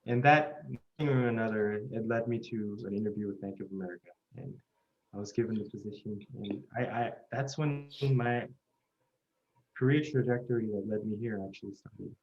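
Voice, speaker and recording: A man's voice: on the low side, moderate pace. A man in his thirties from South Korea, telling his story earnestly and steadily in an oral history interview. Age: 30s. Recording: muffled lo-fi recording.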